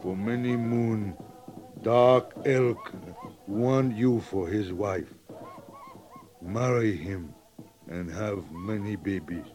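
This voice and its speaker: A slow, stern Sioux man. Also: on the low side, a little mellow. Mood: stern, grave. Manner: slow, solemn, stilted broken English. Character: stern, proud Sioux man set on war. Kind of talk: 1950s radio drama acting.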